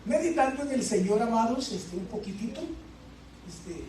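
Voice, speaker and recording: Preacher guy, dark mellow voice, tosses questions at the crowd, says "este" a lot, echoey hall, kinda far from the mic. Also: very high voice, lively intonation, normal speed, slight background noise. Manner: asks the congregation questions, fillers like "este". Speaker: pastor. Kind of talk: sermon. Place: church sanctuary.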